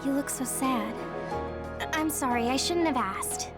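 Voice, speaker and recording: Girlish, gentle young heroine, high voice, hesitant, tender, anime game dub, music behind. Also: a little slow. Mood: apologetic. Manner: hesitant, sympathetic.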